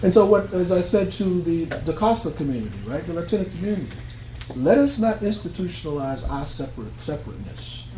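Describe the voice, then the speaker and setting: A man's voice: on the high side, very animated, brisk, dark tone, roomy sound, a little hiss or hum, low-quality recording. A man speaking up at a community meeting, emphatic and argumentative, using rhetorical questions. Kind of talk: public comments at a meeting. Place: art gallery meeting room.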